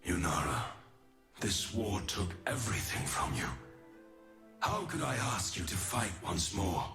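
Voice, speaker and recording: Slow, grave immortal warrior. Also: male voice, deep voice, animated, music in the background. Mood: solemn devotion. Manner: grave, deliberate, formal. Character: noble, solemn immortal winged warrior, weary but resolute. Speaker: video game champion. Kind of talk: scripted dramatic game voice lines.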